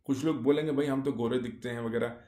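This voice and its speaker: A man's voice: mid-range voice, fairly even intonation, fast talker, warm tone. A man giving a casual explainer monologue, speaking in short clauses with many fillers and rhetorical questions. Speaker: YouTube explainer. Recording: echoey room.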